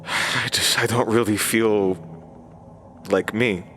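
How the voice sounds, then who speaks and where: A man's voice: lowish voice, fairly even intonation, slow pace, a bit bright, a little hiss or hum. A man playing a troubled, determined radio host in a horror audio drama, intense and terse. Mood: shaken, resolved. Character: troubled, resolute male radio host confronting his tormentor. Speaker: lead actor and creator. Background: music.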